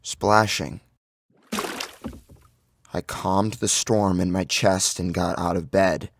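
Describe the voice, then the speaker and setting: A man's voice: low voice, fairly even intonation, slow pace. A man giving a theatrical, suspenseful first-person narration of a horror story. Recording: loud music under the narration. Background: loud music.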